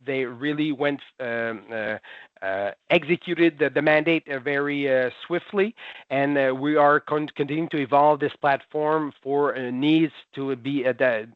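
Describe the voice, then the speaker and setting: A man, sick with a cold, presenting haltingly in a webinar with many fillers and restarts. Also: on the high side, clean tone, no echo. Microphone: video call. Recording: tinny phone-like line.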